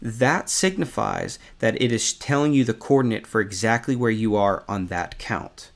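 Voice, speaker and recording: A man narrating a step-by-step software tutorial as an instructor, in a conversational, explanatory way. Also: fairly low pitch, moderate pace, vocal fry.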